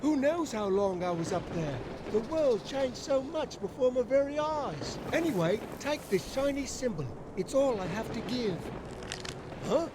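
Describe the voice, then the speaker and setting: Quaint hesitant old-timer, very high male voice, grateful, video game character, noisy ambience. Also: animated, measured pace. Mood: grateful, musing. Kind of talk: video game cutscene acting. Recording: clear ambient noise behind voice.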